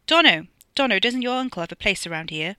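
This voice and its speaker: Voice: mid-range voice, expressive, fast, sharp tone, clear, resonant tone, dry recording, studio quality. A narrator voicing an overbearing, confident upper-class young man who gives orders in an audio story. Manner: bossy, commanding.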